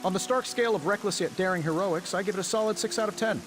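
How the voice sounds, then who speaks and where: An actor playing a wisecracking, cocky inventor superhero in a video game, with an American accent and sarcastic, stammering banter. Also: male voice, high-pitched, fast, music in the background, lightly acted. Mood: teasing, friendly.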